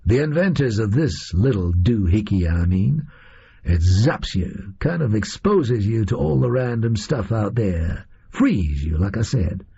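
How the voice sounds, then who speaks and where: A male reader voicing a disillusioned, boastful gunman in an audio story, with a high, reedy voice and folksy, slangy delivery. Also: lively intonation, unhurried, creaky, mellow, husky, dull audio, exaggerated voice. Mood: rambling, confiding.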